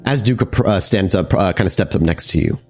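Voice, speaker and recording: Man, game master, low pitch, animated intonation, halting descriptive narration, music in the background. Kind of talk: improvised tabletop game narration.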